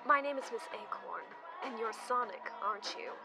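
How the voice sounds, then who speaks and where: A woman's voice: a little high, expressive, normal speed, vocal fry. Woman as a poised cartoon animal lady, music behind. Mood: businesslike, enticing. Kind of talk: fan comic dub.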